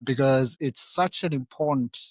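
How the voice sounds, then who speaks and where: A man from Tanzania, a Swahili speaker, talking as a guest on a podcast in a measured, reflective way. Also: medium pitch, darkish tone, no room sound. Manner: measured and reflective, pausing to pick words. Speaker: podcast guest, social work scholar. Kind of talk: conversational interview. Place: live recording at a conference.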